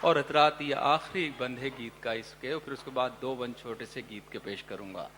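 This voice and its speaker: A man, a poet on stage, sings his verses in a melodic recital at a poetry gathering, with quick spoken remarks to the audience between the verses. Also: medium pitch, fairly bright tone, a little hiss or hum. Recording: long hall reverb with faint music.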